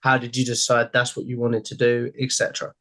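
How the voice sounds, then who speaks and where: A man's voice: mid-range voice, completely flat delivery, fairly quick, sharp tone. A young man hosts a podcast from his room, talking into a headset on a video call. He asks friendly, loosely built questions and keeps coming back to the same stock phrases. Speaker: podcast host. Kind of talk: casual interview questions. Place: home room.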